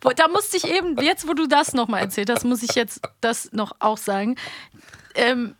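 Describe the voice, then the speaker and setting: A woman's voice: on the high side, moderate pace, no room sound. A young adult woman co-hosting a podcast in casual, enthusiastic chat. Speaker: podcast co-host.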